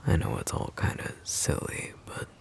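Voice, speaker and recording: A man playing a sweet, bashful wolf-shifter boyfriend in an intimate ASMR roleplay, speaking softly and haltingly in a breathy murmur. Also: lightly acted. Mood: shy, grateful. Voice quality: very deep, breathy, gravelly murmur. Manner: hesitant, halting, soft-spoken. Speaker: audio roleplay performer.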